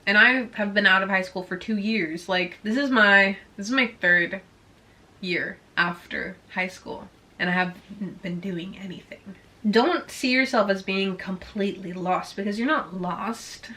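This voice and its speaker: Bubbly swedish-native girl around 20 doing a q&a, super chatty, bright voice. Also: medium pitch, measured pace. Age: about 20. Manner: bubbly, chatty, heavy use of "like". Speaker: vlogger. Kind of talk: casual Q&A chat to viewers.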